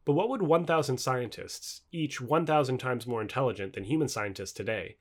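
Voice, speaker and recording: A man reading scripted nonfiction aloud as an audiobook narrator, in a measured, articulate way with emphatic phrasing. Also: medium pitch.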